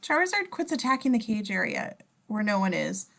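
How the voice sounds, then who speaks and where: A woman with a mid-pitched voice reads stage directions as a narrator. Her delivery is that of an amateur table read, with small stumbles and corrections. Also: big pitch swings, a little fast, creaky, fairly bright tone. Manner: stumbles over words and self-corrects. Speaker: scene description reader.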